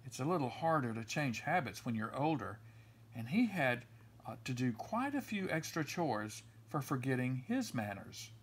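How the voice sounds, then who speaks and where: Expressive male storybook reader, lively pitch. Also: normal speed, husky. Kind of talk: expressive children's story read-aloud.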